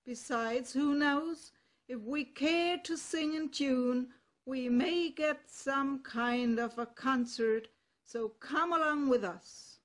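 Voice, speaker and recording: An older woman with a German accent voicing a kindly, bossy male donkey who talks loudly and heartily. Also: sits high, slow, pitched above the performer's own voice. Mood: hearty. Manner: loud, hearty invitations.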